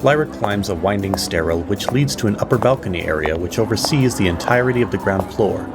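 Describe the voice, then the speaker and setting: A man narrating an audio drama as storyteller, with measured, theatrical pauses. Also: lowish voice, expressive, over music.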